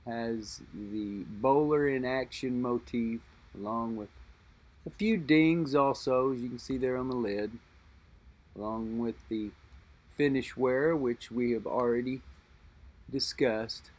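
A middle-aged man talking unscripted about a vintage lighter he holds, musing aloud and pausing to think as he describes it. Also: mid-range voice. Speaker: lighter collector and reviewer. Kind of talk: unscripted show-and-tell narration.

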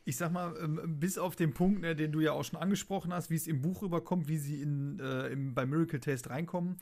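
A man with a fairly high voice talks casually as part of a podcast panel. He sounds jovial and informal, with a loose, unscripted flow. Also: fairly quick, darkish tone. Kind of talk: casual chat.

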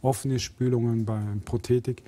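A man's voice: medium pitch, flat delivery, moderate pace, mellow. A man in his fifties, a medical expert guest explaining things conversationally in a studio interview. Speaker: hand surgeon, expert guest. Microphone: clip-on lapel mic.

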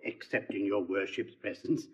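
An actor playing a doddering, humble old constable's deputy, earnest and simple-minded, in Shakespearean comedy. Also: male voice, lowish voice, normal speed, natural voice, rough recording. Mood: earnest, eager. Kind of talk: classical Shakespeare stage comedy acting.

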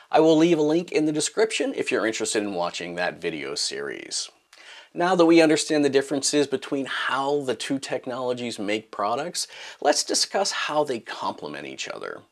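A middle-aged man hosting a maker channel, talking to camera in a scripted explainer, starting phrases high and emphatic and dropping low at their ends, recorded close in his home workshop. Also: huge pitch swings, moderate pace. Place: home workshop room with purple lighting.

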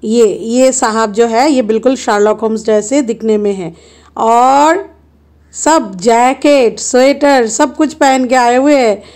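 A woman's voice: a little high, slow pace, darkish tone. A woman narrating a road-trip travel vlog in a chatty, playful way, filming on a handheld phone from a car and at roadside stops. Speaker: travel vlogger.

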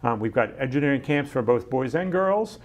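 A man's voice: mid-range voice, expressive, a little fast. A middle-aged man, guest on a studio interview show, talking enthusiastically about his science center with many ums and restarts, on a lapel mic. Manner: enthusiastic, gestures a lot, frequent ums and restarts. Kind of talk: casual interview chat.

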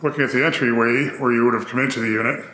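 A man narrating a walkthrough of an empty apartment, describing each room in an upbeat real-estate pitch. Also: fairly even intonation, rapid-fire, pushed and bright. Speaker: apartment tour host. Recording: echoey empty rooms.

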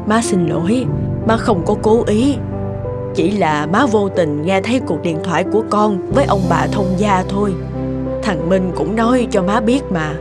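Woman doing caring older cartoon mom, gentle, music playing behind. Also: medium pitch, moderate pace, close to an everyday voice. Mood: apologetic, sorrowful. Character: older mothers, mild, apologetic, caring. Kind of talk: animated moral-tale voice acting.

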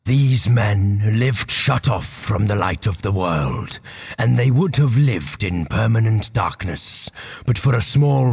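A man playing a grave, impassioned warrior orator in a theatrical, measured audio drama monologue over music. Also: fairly low pitch, vocal fry, husky, no echo, clean studio sound, exaggerated voice. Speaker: voice actor.

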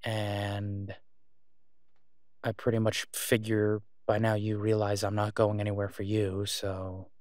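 A man playing a devoted, reassuring boyfriend in an intimate roleplay audio drama, speaking softly and haltingly in a conversational way. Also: on the low side, completely flat delivery, smooth, no room sound, studio quality. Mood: tender, reassuring.

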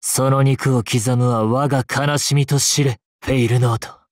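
男性声優がゲームで演じる、悲哀に満ちて丁寧な物言いの、冷徹で詩的な騎士の弓使い。. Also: male voice, mid-range voice, fairly even intonation, dry recording, clean studio sound, close to an everyday voice. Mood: solemn, mournful. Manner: slow, formal, poetic delivery. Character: sorrowful, courtly male knight archer, poetic and coolly merciless. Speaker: voice actor in a mobile game. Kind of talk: theatrical game battle lines. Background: game music.

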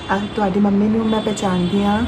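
A young male vlogger playing a polite Punjabi woman on a prank phone call, halting and repeating himself. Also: high voice, little pitch movement, close to an everyday voice. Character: polite Punjabi woman phoning an old classmate's family. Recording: clear background noise.

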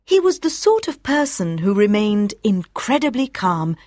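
A woman narrating a scripted story as an audiobook for language learners, with careful, clear phrasing. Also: medium pitch, very animated, unhurried, a little mellow, no room sound, clean studio sound.

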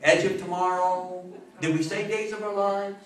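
An American man in his sixties giving an interactive public talk, animated and theatrical, full of rhetorical questions. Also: high-pitched, normal speed, crowd noise. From New York State, US. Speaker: lecturer giving a history talk. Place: small meeting room with seated audience. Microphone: far camera. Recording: echoey room, distant camera microphone.

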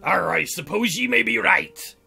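A man's voice: sits high, lively intonation, moderate pace, vocal fry, bright, forceful tone, slightly rough, heavily acted. A man voicing a teasing, unbothered male pirate captain in drawling pirate talk for a visual novel. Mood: grudging. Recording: music under the voice.

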